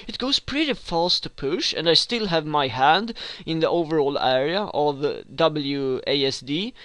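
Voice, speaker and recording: A man's voice: a little high, lively intonation, measured pace, fairly bright tone, smooth, dry recording. A young man from Sweden with a Swedish accent, hosting a rambling game tutorial with many hesitations and restarts. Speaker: gaming tutorial host.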